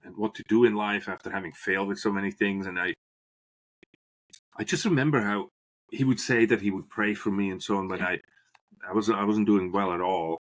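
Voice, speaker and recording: Middle-aged Swiss-born man, low-pitched, earnest podcast guest recounting his life story. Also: fairly quick, rough recording. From Switzerland. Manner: earnest, restarts phrases while searching for words. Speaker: guest, business professor. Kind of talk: podcast interview, reflective storytelling.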